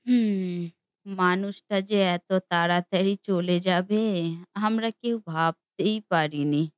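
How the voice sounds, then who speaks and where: A woman's voice: on the low side, slow, dry recording, studio quality. A woman playing a doting elderly widowed mother in a cartoon family drama, speaking in a reflective, motherly way. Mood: wistful.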